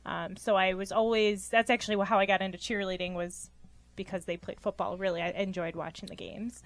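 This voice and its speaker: A woman's voice: medium pitch, flat delivery, fairly quick. A young woman from Rochester, New York, a physical therapist guest giving earnest interview answers with frequent ums.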